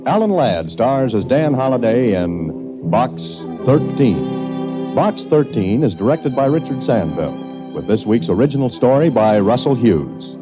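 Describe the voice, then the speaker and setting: A man's voice: mid-range voice, sing-song, unhurried. Formal male radio announcer over music. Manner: formal, declaiming. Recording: dull old radio recording over music.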